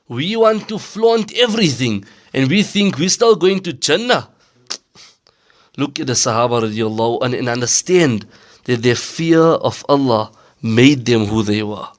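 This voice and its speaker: A man's voice: medium pitch, sing-song, unhurried. A man playing a stern Islamic teacher, preaching rhetorically in an audio drama. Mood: admonishing. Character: stern male Islamic teacher admonishing students on modesty. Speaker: religious teacher.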